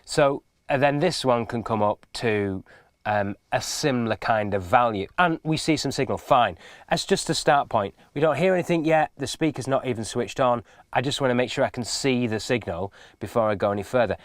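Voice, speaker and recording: A man's voice: mid-range voice, normal speed, no echo. Man giving a casual tutorial, dry sound. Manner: informal, patient step-by-step explaining. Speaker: instructor. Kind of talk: casual spoken hands-on tutorial.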